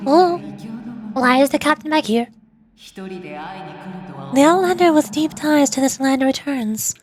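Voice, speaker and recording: A woman's voice: high voice, a little slow. A woman game streamer chatting to herself and reading on-screen text aloud as she plays. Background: game music.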